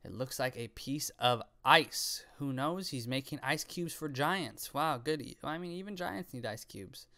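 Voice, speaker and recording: A young man records casual reaction commentary up close on a studio microphone. His voice sits at a medium pitch, and he talks off the cuff with slang, swearing and playful sarcasm. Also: expressive, measured pace, hardly any pauses, bright, forceful tone. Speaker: reaction video creator. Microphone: close studio microphone on arm, with headset.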